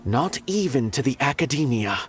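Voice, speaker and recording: A man's voice: medium pitch, very animated, moderate pace, natural voice. A man playing a haughty, philosophical former artist with a lofty, declamatory delivery in a game. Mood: earnest. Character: haughty, philosophical male former artist, secretly soft-hearted. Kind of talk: dramatic game dialogue. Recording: game music behind.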